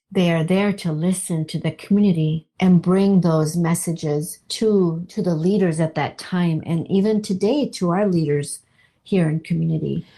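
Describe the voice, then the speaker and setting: A woman giving a reflective interview, speaking earnestly and deliberately, often repeating her phrasing for weight. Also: on the low side, warm tone. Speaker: poetry group co-founder being interviewed.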